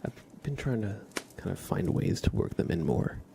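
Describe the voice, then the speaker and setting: A man with a gravelly, fry-laden voice speaks softly as an ASMR host, often slipping into a whisper. He murmurs gently and haltingly, close to the microphone. His register sits on the low side, and clear background noise with clicking runs behind him. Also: sing-song. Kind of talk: soft-spoken, half-whispered ASMR chat and playful roleplay.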